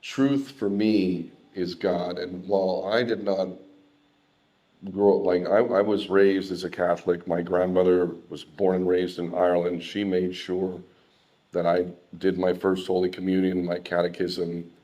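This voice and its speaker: A man with an American accent speaks at a talk about his own life. His voice sits low and moves very little in pitch. He talks haltingly and reflectively, repeating words as he finds his way. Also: little pitch movement, dark tone, rough recording. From Southern California. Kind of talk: personal testimony in an unscripted talk.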